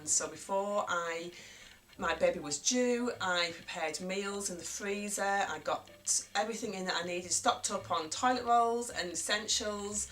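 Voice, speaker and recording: A young woman with a croaky voice from a cold, talking gently and reflectively to camera in a casual vlog. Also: on the low side. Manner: gentle, reflective, repeats herself. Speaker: parenting vlogger.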